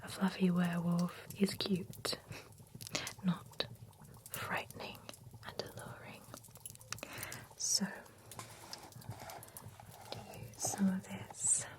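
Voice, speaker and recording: A woman's voice: on the low side, level tone, slow pace, long pauses. A woman whispering in a chatty, playful way as a pampering spa stylist in an ASMR roleplay. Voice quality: mostly unvoiced whisper.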